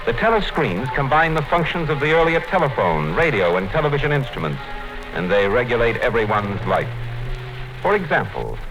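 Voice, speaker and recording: A man narrating a radio play in a measured, formal way. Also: medium pitch, very animated, rough recording. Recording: old radio broadcast with music under it.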